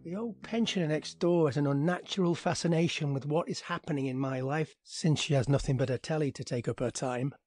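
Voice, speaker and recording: An actor plays a young bachelor at the end of his patience. His voice sits a little high for a man, and he gripes with sardonic sarcasm in an audio drama monologue. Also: brisk, hardly any pauses, a little mellow, no echo, faint music behind, natural voice. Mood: irritated, sardonic. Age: young adult. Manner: sardonic, griping.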